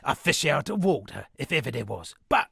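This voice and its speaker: An actor playing a self-satisfied town poet and storyteller in a video game, speaking in a florid, dramatic manner with a gravelly, husky voice. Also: male voice, mid-range voice, big pitch swings, a little fast, sharp tone, no echo, studio quality, full character voice. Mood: jovial. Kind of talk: theatrical game dialogue with declaimed verse.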